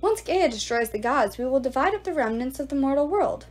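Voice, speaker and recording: An arrogant male ghoul sneers and mocks those around him, as a woman reading aloud puts him on. His voice sits fairly high and swings widely in pitch. The delivery drips with contempt. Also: animated, a little fast. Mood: sneering. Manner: mocking, contemptuous.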